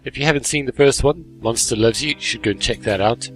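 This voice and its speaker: A man's voice: mid-range voice, fast, poor audio. Man doing chatty let's play over music. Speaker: gaming video host. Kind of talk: casual let's play commentary, reading game dialogue aloud. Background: game music.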